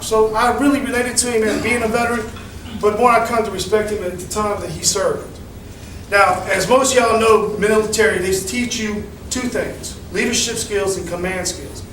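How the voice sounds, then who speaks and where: A man with a loud, folksy way of talking, chairing a meeting and making announcements to a crowd in a community center hall. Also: high voice, slight background noise. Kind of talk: public address to a meeting. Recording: echoey hall from far off.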